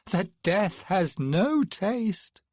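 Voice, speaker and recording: Man doing a high smirking goddess voice, drawling, wide swoops, breathy, dry close sound. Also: expressive, slow pace, mellow, no echo, heavily acted. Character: young female immortal twin, smirking and defiant. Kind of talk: audiobook character reading.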